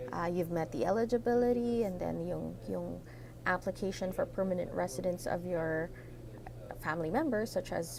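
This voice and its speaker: A woman with a Filipino accent walks her live-stream viewers through a topic, switching between English and Tagalog. Her voice has a gravelly, fry-tinged edge, and she keeps pausing on fillers and a checking "right?". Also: on the low side, background noise. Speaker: immigration consultant hosting a live Q&A. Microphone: computer setup at a desk.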